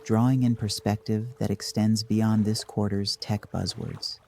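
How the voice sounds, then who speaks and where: A man narrating a scripted guided meditation in a measured, dry deadpan manner. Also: lowish voice, gravelly, noisy background.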